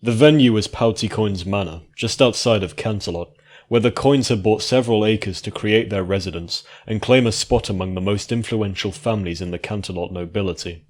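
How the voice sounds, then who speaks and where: Male narrator for fantasy fiction, low register, scripted reading. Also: brisk.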